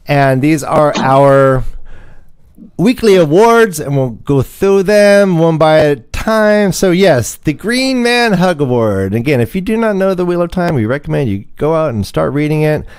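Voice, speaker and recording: Guy hosting a fantasy football stream, jokey and dramatic, voice on the high side and all over the place. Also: smooth. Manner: jokey, theatrical emphasis. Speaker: livestream show host. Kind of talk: casual fantasy football banter and announcing.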